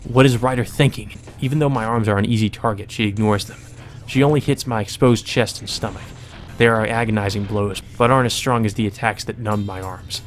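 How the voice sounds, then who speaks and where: A man's voice: lowish voice, steady tone, brisk, faint music behind. A man reading visual novel narration aloud as a let's play narrator, in a dramatic storytelling manner.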